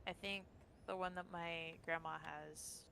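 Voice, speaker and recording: Low, gravelly woman over game music. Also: fairly even intonation, unhurried, background noise. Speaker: co-player on stream. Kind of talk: casual chat.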